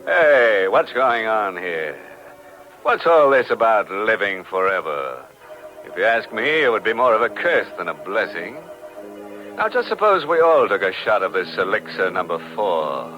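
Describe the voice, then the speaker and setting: An actor playing a wry, theatrical, macabre host of a 1940s radio mystery show. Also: male voice, on the low side, very animated, measured pace, sharp tone, soft background music, exaggerated voice. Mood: wry, mock-indignant. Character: sardonic, macabre, jocular male horror host. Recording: muffled old radio recording.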